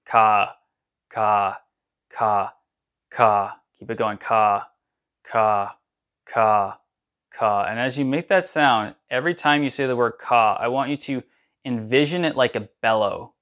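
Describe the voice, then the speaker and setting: A man leading a guided sound meditation, speaking in calm, unhurried instructions between drawn-out chanted syllables. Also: medium pitch, dull audio. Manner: slow, soothing guidance with drawn-out chanted syllables.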